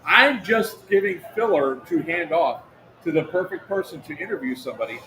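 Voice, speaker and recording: A man's voice: fairly high pitch, lively intonation, normal speed, sharp tone, rough recording. A man hosting a casual livestream from an outdoor event, talking loosely and laughing easily. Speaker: host. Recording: clear background event noise.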